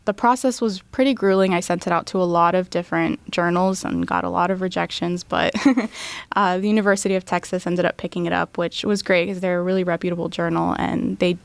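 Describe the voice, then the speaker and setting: Soft-spoken young woman, dry close sound. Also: fairly low pitch, fairly even intonation, brisk, gravelly, no echo. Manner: soft-spoken, frequent ums and small laughs. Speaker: law student guest. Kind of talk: interview answers.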